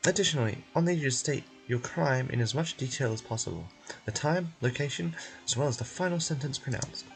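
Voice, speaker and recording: A man's voice: medium pitch, animated, brisk, gravelly, soft background music, poor audio. A man voicing a stern, officious game official obsessed with order, formal and strict.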